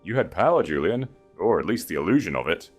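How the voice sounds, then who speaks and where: A man playing an icy, scornful aristocratic lady, low-pitched. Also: sing-song, slightly rough, faint music behind, full character voice. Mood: contemptuous. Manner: icy, slow, clipped disdain. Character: cold, scornful aristocratic young woman, calculating and contemptuous.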